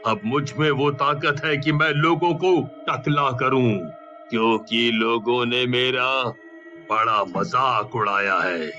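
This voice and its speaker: A man's voice: mid-range voice, takes it slowly, sharp tone, faint music behind. A man voicing a vengeful, self-pitying ghost of a bald man in a cartoon horror story, menacing and aggrieved, in a dull recording. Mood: bitter.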